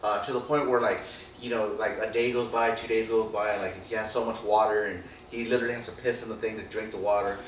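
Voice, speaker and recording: A man's voice: lowish voice, level tone, quick pace. A man telling long rambling stories in casual chat, full of fillers and swearing. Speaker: podcast co-host. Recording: roomy, distant mic, faint room noise.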